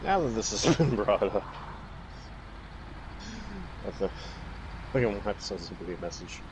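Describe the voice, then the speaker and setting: A man's voice: lowish voice, big pitch swings, moderate pace. A young man chatting casually and telling stories in a crude, sweary way, acting out the dialogue as he goes. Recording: vehicle and road noise.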